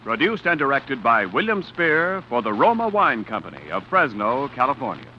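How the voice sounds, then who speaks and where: Man, vintage radio announcer, smooth sales pitch, lively pitch, muffled old broadcast. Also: normal speed, faint music behind. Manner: polished, salesman-like. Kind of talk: 1940s radio sponsor announcement.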